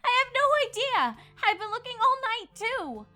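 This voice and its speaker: A woman's voice: very high voice, big pitch swings, normal speed, bright, forceful tone, soft background music, pitched above the performer's own voice, heavily acted. A woman voicing a frazzled, snarky young adult pony mare who is easily exasperated, for a cartoon story reading. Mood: frustrated.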